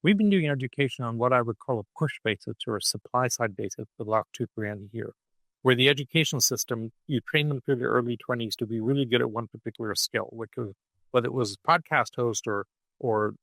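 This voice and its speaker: Male podcast guest, middle voice, dry close sound, lively explainer who keeps asking "right?". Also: mid-range voice, brisk, gravelly, no echo. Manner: punctuates points with "okay?" and "right?". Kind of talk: animated conversational explaining.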